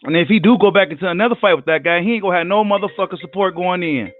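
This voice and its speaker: A hoarse man who says he is losing his voice, ranting heatedly and profanely on a live boxing vlog. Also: high-pitched, racing, no room sound, soft background music. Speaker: boxing commentator. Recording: tinny phone-like line, dry.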